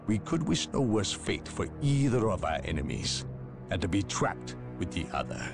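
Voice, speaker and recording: A man's voice: fairly low pitch, very animated, normal speed, vocal fry, music in the background. An actor playing an arrogant male inquisitor, speaking with clipped, cutting, condescending politeness. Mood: cold. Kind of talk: video game cutscene acting.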